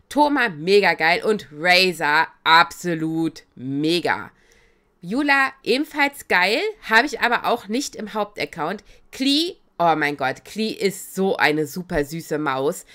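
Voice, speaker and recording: Female game streamer with a cold, slightly raspy, bright, lively, chatty and giggly. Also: medium pitch. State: has a cold. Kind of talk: casual let's-play commentary.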